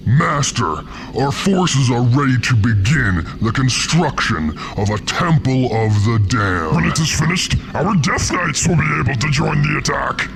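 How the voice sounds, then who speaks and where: Creaky, cautious orc lieutenant. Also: male voice, mid-range voice, lively intonation, a little slow, hardly any pauses. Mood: deferential.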